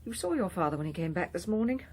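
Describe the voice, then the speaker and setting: An actress playing a weary, sardonic politician's wife in a radio drama, dry and defensive. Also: female voice, lowish voice, very animated, fast, a little mellow.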